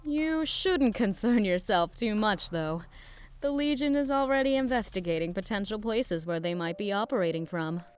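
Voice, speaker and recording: A woman playing an eccentric, curious lab scientist in an audio drama, musing and rambling in an excitable way. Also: mid-range voice, moderate pace, smooth, soft background music, natural voice. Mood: explaining, pensive. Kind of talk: scripted audio drama acting.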